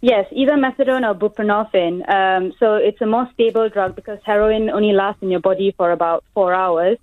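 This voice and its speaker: A young woman from Malaysia, working as a pharmacist, calling a radio phone-in and explaining her view earnestly with many pauses and ums. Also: medium pitch, fairly even intonation, no echo. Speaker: caller, pharmacist. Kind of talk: phone-in call. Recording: tinny phone line.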